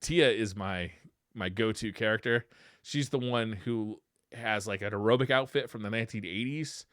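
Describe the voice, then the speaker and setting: A man's voice: on the low side, normal speed, a bit bright, no echo, studio quality. A man co-hosting a casual retro gaming podcast, talking in a conversational way. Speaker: podcast co-host.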